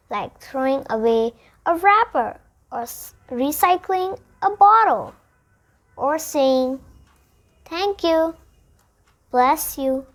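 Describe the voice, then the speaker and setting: A high, childlike voice reads a picture book aloud. The child goes slowly and deliberately through the story. Sentences come in short, separate phrases. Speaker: storybook reader.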